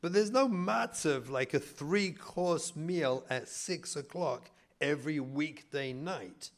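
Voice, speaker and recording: A man's voice: fairly high pitch, takes it slowly. A middle-aged man from England with an English accent, a rabbi giving a humorous talk to an audience in a community hall, telling stories with mock-indignant asides.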